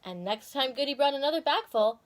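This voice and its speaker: High-pitched, animated woman storyteller. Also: fairly quick. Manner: dramatic, expressive. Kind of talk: animated children's story reading.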